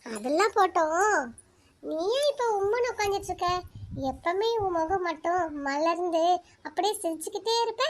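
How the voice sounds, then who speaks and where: A woman voicing a kindly, gentle moon spirit who comforts a girl in a cartoon. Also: very high voice, animated, moderate pace. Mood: coaxing. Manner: coaxing and gentle.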